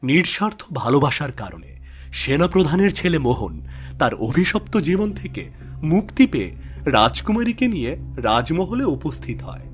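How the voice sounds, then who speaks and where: A man's voice: on the high side, sing-song, normal speed, slightly rough. A man narrating a scripted fairy tale as a storyteller.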